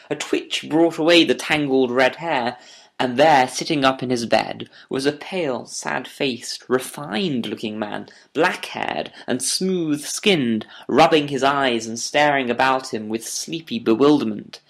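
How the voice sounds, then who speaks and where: A man narrating a scripted audiobook as a storyteller, deliberate and literary in delivery. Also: mid-range voice, a bit bright.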